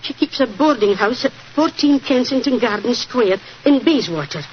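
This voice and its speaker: A woman's voice: a little high, lively intonation, moderate pace, natural voice. A woman playing a kindly, deferential older London landlady with a British accent, apologetic and hesitant, in a 1940s radio drama. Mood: apologetic, anxious. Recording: muffled, noisy 1940s radio recording.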